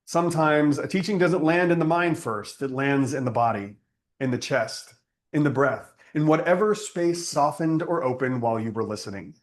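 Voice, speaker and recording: A man leading a spiritual service, speaking in solemn, deliberate phrases with pauses as in prayer and teaching. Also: on the high side.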